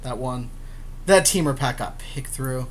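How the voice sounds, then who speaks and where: A man's voice: medium pitch, measured pace, slight background noise. A man talking casually as a gaming podcast co-host, slangy and informal, with offhand swearing. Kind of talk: casual gaming commentary, chatting while drafting cards.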